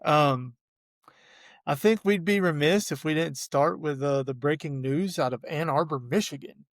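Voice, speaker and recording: A man with a cold, sounding hoarse, hosting a casual sports podcast and laughing often. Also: fairly high pitch, a little slow, no echo, clean studio sound. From Arkansas. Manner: laid-back, laughs often. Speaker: podcast host.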